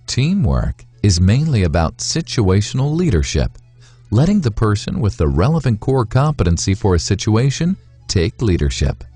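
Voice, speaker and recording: A man narrating a scripted moral fable in a measured, instructive way, with deliberate phrasing. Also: lowish voice, wildly swooping pitch, mellow, slightly rough, no room sound, faint music behind. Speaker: storyteller, narrator.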